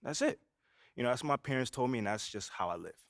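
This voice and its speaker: A cocky young pro basketball rookie in a sports game, talking in a laid-back, brash way and leaning on "you know" again and again. His voice sits at an ordinary middle pitch, and the recording is close and dry. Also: expressive, fast talker, creaky, no room sound. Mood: measured. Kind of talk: video game cutscene voice acting.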